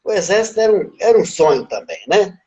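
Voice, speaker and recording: High-voiced man with a Bahian accent. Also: fast talker, lo-fi sound. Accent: Bahian Portuguese. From Brazil, born in Minas Gerais, raised in Bahia. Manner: relaxed, reflective, tags phrases with "né?". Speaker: interview guest. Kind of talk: casual life-story interview.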